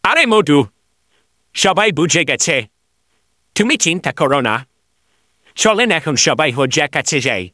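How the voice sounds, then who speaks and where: Casting: male actor for eager flattering cartoon villager, sharp bright tone, slightly high, insistent. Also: slow, long pauses, no echo, clean studio sound, full character voice. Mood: confident. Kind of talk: animated cartoon dialogue.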